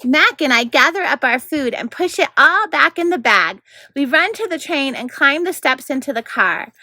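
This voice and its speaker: Female story narrator, fairly high pitch, bright tone, dry close sound, scripted read-aloud. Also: animated, moderate pace, no echo.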